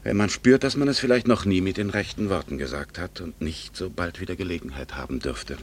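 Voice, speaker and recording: An actor playing a wistful, ironic middle-aged gentleman and secret admirer in a 1960s German radio drama, with courtly, hesitant speech that can drop to a near-whisper. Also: low voice, fairly quick, slight background noise, close to an everyday voice. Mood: wry, wistful.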